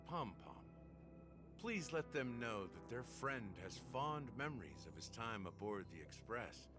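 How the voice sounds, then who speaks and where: An actor playing a weathered older man in a video game, a keeper of an old friend's legacy who speaks in a measured, reflective way. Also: fairly low pitch. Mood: fond. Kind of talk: scripted video game dialogue.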